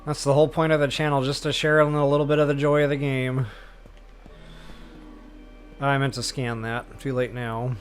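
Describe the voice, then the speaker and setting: A man's voice: medium pitch, little pitch movement, moderate pace, pauses often. A man giving casual gameplay walkthrough commentary, talking straight to his viewers as a channel host. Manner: casual, talks directly to viewers. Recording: game music behind the voice.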